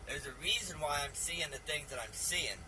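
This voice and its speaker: Male, 50s. Slightly high, forceful voice, earnest rambling vlogger, faint background noise. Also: expressive, normal speed, poor audio. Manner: earnest, insistent, folksy, repeatedly addresses listeners as man. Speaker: video channel host. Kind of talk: rambling religious vlog monologue.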